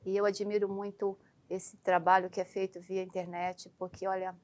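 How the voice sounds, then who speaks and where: A woman from Brazil talks as a doctor guest in a TV studio. She gives frank, forceful advice with lively, emphatic delivery. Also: mid-range voice, moderate pace. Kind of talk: TV interview.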